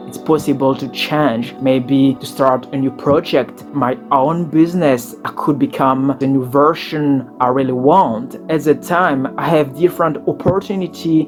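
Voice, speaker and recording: Halting man, soft music behind. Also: medium pitch. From Belgium. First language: Malagasy. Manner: halting, with frequent hesitations and non-native phrasing. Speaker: designer and entrepreneur. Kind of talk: reflective personal vlog monologue.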